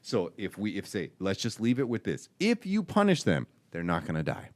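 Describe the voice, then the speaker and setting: Animated pastor man, lively swinging pitch. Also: normal speed, no room sound. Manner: animated, swings from low and soft to loud emphatic bursts. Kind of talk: casual chat.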